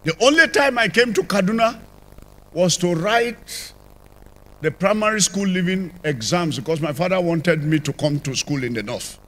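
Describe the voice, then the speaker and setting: An older man with a Nigerian accent, telling his conversion testimony as a church apostle, with sudden rises and drops in loudness, on a handheld mic in a large church. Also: high-pitched, animated, normal speed. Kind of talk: testimony sermon. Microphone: handheld microphone.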